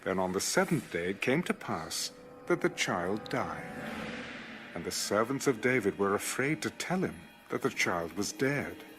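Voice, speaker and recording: A man reads scripture as a dramatic storyteller. His lowish voice moves expressively up and down and takes its time. Soft music sits underneath. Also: big pitch swings, a little slow. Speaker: audio Bible narrator.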